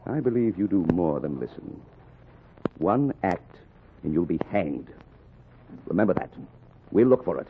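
Brief: stern king's officer, very low voice, haughty and threatening, period radio drama, narrow-band sound. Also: sing-song, a little slow, long pauses, exaggerated voice. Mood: menacing. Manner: haughty, menacing. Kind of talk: historical radio drama acting. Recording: phone-like old recording with faint noise.

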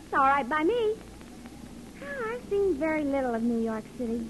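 A woman's voice: high-pitched, big pitch swings, moderate pace, background noise. An actress playing a naive young chorus girl, eager and wide-eyed, in 1930s radio drama style.

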